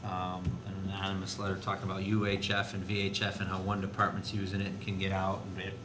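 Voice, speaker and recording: A man speaking as an administrator at a school board meeting, explaining in a halting way with restarts. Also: monotone. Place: indoor board meeting room. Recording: noisy, echoey meeting room with low rumble.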